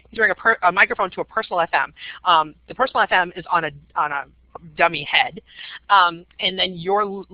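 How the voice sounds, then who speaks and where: A woman's voice: lowish voice, dry recording. A woman giving a webinar presentation to teachers, talking conversationally with frequent "um" fillers. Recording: dull, dry webinar audio.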